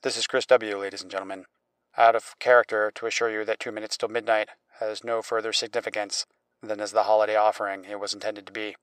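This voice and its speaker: Smooth low-voiced podcast host. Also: male voice, level tone, fast talker, fairly bright tone, no room sound. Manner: wry, theatrical. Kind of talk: scripted sign-off.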